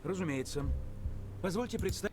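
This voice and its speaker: An actor playing a courteous, ceremonious head of a work site in a period visual novel game. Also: male voice, sits high, expressive, brisk. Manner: ceremonious, formal.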